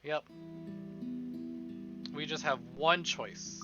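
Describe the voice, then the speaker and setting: A man giving casual, profane livestream commentary on a game, expressive and often talking to himself. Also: on the high side, very slow, dull audio. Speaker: streamer playing a visual novel. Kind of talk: casual livestream commentary with dramatic read-aloud of game text. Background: game music.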